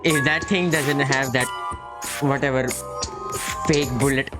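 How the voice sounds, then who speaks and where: A man's voice: mid-range voice, steady tone, a little slow. A man casually commenting on a story game he is playing, thinking aloud in an offhand way. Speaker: gameplay streamer. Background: very loud game music.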